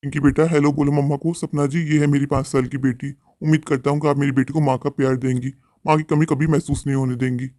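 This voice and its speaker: A man playing a protective young widowed father in a Hindi cartoon, earnest, respectful and gentle in manner. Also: on the high side, flat delivery, quick pace, hardly any pauses, no echo, lightly acted. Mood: gentle, hopeful.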